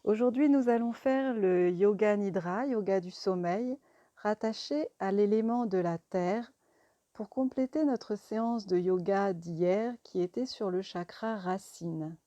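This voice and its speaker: A woman guiding a yoga relaxation meditation in a calm, soothing, deliberate manner. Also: medium pitch, no room sound, dull audio. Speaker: yoga nidra teacher.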